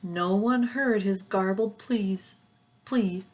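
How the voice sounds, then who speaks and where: A woman reading a children's picture book aloud like a teacher, with expressive, rhythmic phrasing aimed at young listeners. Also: mid-range voice, slow. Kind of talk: children's storybook read-aloud.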